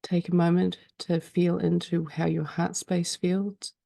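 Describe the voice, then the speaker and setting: A woman leading a guided meditation in a calm, soothing way, speaking slowly with frequent pauses. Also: on the low side, vocal fry, no room sound, clean studio sound.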